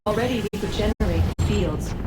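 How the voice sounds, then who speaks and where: Woman acting ancient goddess-like being, grandiose, formal, medium pitch, theatrical game monologue, very noisy backdrop. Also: fairly quick, distant mic, natural voice. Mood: solemn, grand. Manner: stately, formal, declamatory.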